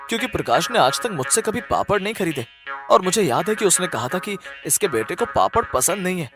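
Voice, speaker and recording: A man's voice: sits high, big pitch swings, brisk, music in the background. A man voicing a humble, earnest poor villager in a cartoon story, respectful and easily distressed. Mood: puzzled. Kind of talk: Hindi cartoon story acting.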